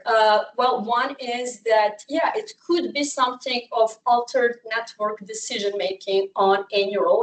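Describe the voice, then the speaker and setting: Earnest woman from Serbia, distant mic. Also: fairly high pitch. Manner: frequent fillers, candid and earnest. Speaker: researcher presenting at a summer school. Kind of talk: conference talk.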